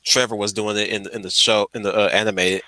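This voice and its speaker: A man's voice: on the low side, flat delivery, fast talker, bright, forceful tone, no echo, rough recording. A man chatting casually on a stream in an emphatic, slangy way, often repeating his phrases. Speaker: stream host. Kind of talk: casual chat about films.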